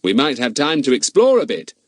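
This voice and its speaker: A man reading an audiobook, voicing a clever, confident boy who gives brisk orders in a slightly raised voice. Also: mid-range voice, huge pitch swings, no echo, studio quality, pitched above the performer's own voice. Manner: brisk, commanding, a little raised. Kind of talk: audiobook character voice.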